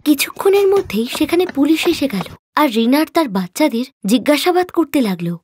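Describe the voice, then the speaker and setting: A woman's voice: sits high, sing-song, brisk, a little mellow, a little hiss or hum. A woman narrating a moral story as a storyteller, reading from a script.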